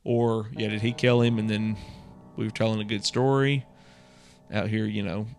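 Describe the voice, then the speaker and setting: A man's voice: fairly low pitch, level tone, normal speed, faint music behind. A man co-hosting a podcast, chatting casually and explaining his take with conversational asides. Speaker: podcast co-host. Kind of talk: casual chat.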